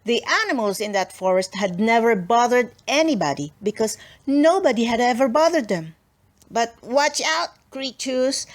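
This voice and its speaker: A woman's voice: on the high side, animated, moderate pace. A woman narrating a children's storybook expressively, with dramatic emphasis and playful asides. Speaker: storybook reader.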